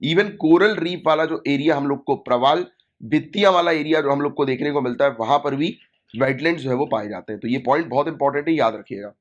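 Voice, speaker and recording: A man with a sore throat lectures students for exam prep, going over key points and checking in with "right?" His voice sits a little high for a man. The recording is dull and lo-fi. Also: a little fast. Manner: explanatory, repeats key points and asks "right?". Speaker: exam coaching teacher.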